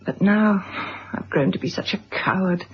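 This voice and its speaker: Casting: wounded middle-aged wife, formal and guarded, breathy husky voice, 1970s radio play, muffled lo-fi recording. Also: female voice, on the low side, moderate pace. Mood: shaken, resigned. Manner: formal, restrained, hesitant. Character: reserved, wounded wife and mother, stiffly polite. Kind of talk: 1970s radio drama acting. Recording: dull lo-fi vintage radio recording.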